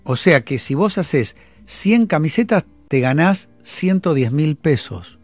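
A man's voice: on the high side, lively intonation, takes it slowly, darkish tone, no room sound, faint music behind. A man with a Rioplatense accent hosting a phone-in, probing the caller with insistent questions and recapping her figures. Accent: Rioplatense Spanish. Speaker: radio host.

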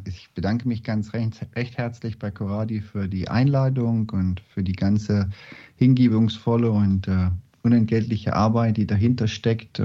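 A man opening a spiritual lecture, speaking haltingly with many fillers and self-corrections. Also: on the low side. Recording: dull lo-fi recording.

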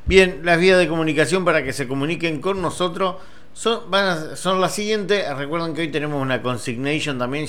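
A man's voice: a little high, fairly bright tone. A man with an Argentine Spanish accent hosting a radio show, trading dry, wry remarks in casual banter. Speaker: radio host.

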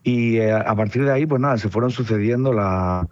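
A Spanish man from Murcia with a southern accent, a musician talking about his tour in a relaxed, reflective way over a phone line. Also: lowish voice, fairly quick, dry recording. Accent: southern Spain, Murcian. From Murcia, Spain. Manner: relaxed, reflective, with fillers like "pues" and "nada". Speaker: musician guest. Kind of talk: phone interview. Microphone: telephone line.

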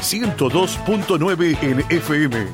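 A man voicing a radio station promo, partly sung, in a smooth promotional delivery. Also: on the high side, lively intonation, slow, over music.

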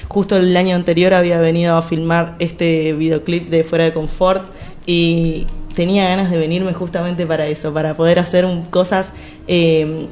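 A woman's voice: fairly low pitch, flat delivery, normal speed, a little mellow. A young woman from Argentina with an Argentine accent, a singer chatting as a radio guest and rambling with restarts and fillers. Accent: Argentine Spanish. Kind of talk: casual radio interview.

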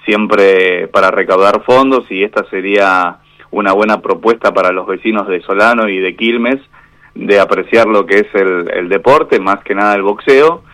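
Argentine male radio guest, low-pitched, unhurried, full of fillers. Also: fairly bright tone. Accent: Argentine Spanish. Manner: measured, hesitant, many fillers. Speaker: boxing referee guest. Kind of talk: phone interview on radio. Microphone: phone line.

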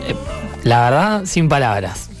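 Male co-host, Rioplatense Argentine, mid-range pitch, relaxed live-radio chat over faint music. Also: a little slow.

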